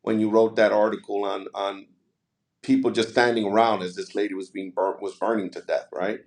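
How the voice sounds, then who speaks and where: A man from New City, hosting a conversational podcast interview in a discursive, halting way, often repeating phrases as he builds questions. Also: lowish voice, level tone, darkish tone. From New York City, United States.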